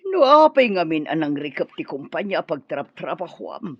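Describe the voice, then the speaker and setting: An animated, meddling older Filipino mother. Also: low voice, moderate pace, husky, no echo, muffled recording, heavily acted. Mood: complaining. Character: ailing, meddling older mother angling for a match. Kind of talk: Ilocano radio drama acting.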